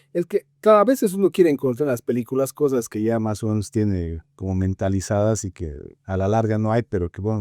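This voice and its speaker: A man with a Bolivian Spanish accent talking film criticism conversationally as a podcast co-host, haltingly searching for words with frequent filler tags. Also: on the low side, dark tone, no room sound, clean studio sound.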